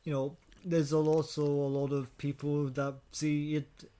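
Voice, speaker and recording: A man with a slightly high-pitched voice hosts a casual podcast. He talks in halting stretches, stammering and doubling back over his words as he steers the conversation. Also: flat delivery, warm tone. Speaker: podcast host.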